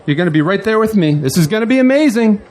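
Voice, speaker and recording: An actor playing an exuberant, grandiose newly elected politician in an audio drama, with an excitable, speechifying manner. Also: male voice, high voice, fast. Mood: eager, affectionate.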